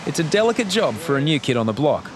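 A man's voice: a little high, quick pace, background noise. A man narrating a documentary in a wry, scripted storytelling manner. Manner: wry, lively storytelling delivery.

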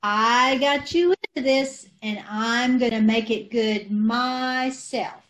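A woman acting a scatterbrained, innocent-sounding wife in an old-time radio comedy, deadpan and unhurried, over a video call. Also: fairly high pitch. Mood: matter-of-fact. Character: scatterbrained, artfully innocent wife, mangles sayings. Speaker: amateur radio troupe actor.

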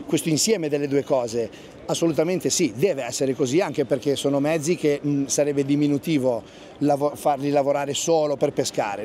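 A man's voice: a little high, warm tone, a little hiss or hum. Enthusiastic man at a marina. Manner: enthusiastic, repeats "assolutamente". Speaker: fishing guide. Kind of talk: interview at a boat show. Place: outdoor marina at a boat show.

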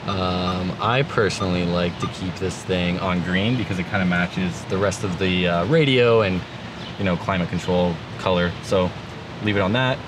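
Low-voiced man in his thirties, casual walkthrough. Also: steady tone, normal speed. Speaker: vlogger demonstrating vehicle gear. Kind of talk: casual product walkthrough to camera. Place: inside a parked jeep with open door, outdoors. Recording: clear background noise, vehicle ambience.

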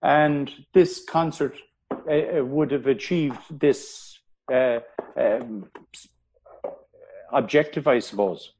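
A man, a historian, telling history in an interview, pausing often with ums and restarting his sentences. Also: medium pitch, darkish tone, slight background noise. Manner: hesitant, many ums and restarts. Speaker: historian guest.

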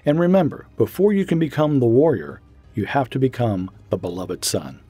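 A man reads a scripted promotional spot in an earnest, encouraging tone. His voice is warm and a little husky, and his pitch moves a lot. Soft music plays faintly underneath. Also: lively intonation, normal speed, no room sound. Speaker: announcer.

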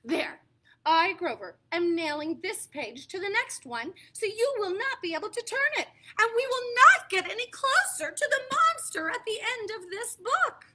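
A woman putting on a lovable, furry male monster from a storybook, jittery and pleading in a very high, bright voice. He talks slowly and theatrically, with big swings in pitch. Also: animated, heavily acted. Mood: frantic. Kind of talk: storybook read-aloud.

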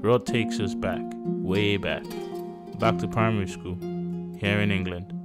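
Male documentary narrator, low register, scripted delivery, prominent plucked guitar bed underneath. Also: fairly even intonation, a little slow. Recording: loud guitar music underneath. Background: plucked guitar music.

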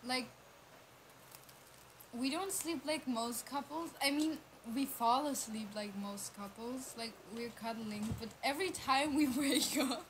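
A young woman, a native German speaker, tells stories in English on a casual livestream, breaking into laughter as she goes. Her voice sits a little high. A steady hiss of background noise runs under the home recording. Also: measured pace. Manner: giggly, laughs through stories. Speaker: livestream co-host.

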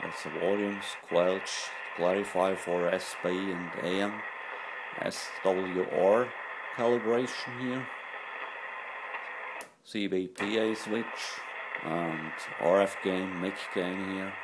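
A man informally demonstrating equipment in short, halting phrases. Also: low voice, steady tone. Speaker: radio collector and presenter. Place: indoors at a desk. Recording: noisy room with radio static.

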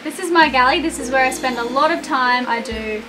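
A young woman leads a casual tour of her boat, chatty and matter-of-fact. Her voice sits high. Steady background noise is clearly heard in the recording. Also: fairly quick. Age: young adult. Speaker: sailing vlogger. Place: cabin and galley of a sailing catamaran.

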